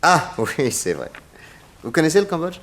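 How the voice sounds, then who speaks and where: An actor playing a shrewd, courteous detective who questions people with theatrical confidence, in a 1960s radio serial. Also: male voice, fairly high pitch, big pitch swings, moderate pace, a bit bright, exaggerated voice. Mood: feigned casualness. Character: shrewd, probing, confident American male detective. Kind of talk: 1960s French radio drama acting.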